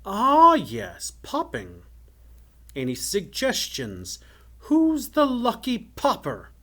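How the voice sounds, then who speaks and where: A menacing fire wizard tyrant from a storybook, with a raised pitch that swings widely. He stretches his words theatrically and sounds sinister and imperious. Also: huge pitch swings, slow, pushed and bright, heavily acted. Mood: mockingly gleeful. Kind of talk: dramatic storybook villain.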